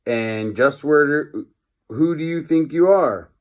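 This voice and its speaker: A man's voice: a little high, takes it slowly, pitched above the performer's own voice. Man reading as a proud, seething evil professor. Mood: menacing. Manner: indignant, seething. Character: proud, furious adult male evil professor. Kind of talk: children's storybook read-aloud.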